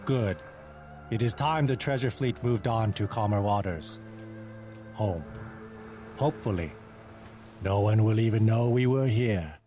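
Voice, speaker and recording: A man's voice: lowish voice, measured pace, pauses often, mellow, faint music behind, natural voice. A man playing a stern, honourable Chinese fleet captain in a video game cutscene, speaking in a formal, grave, commanding way. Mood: reflective. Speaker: game character, military leader.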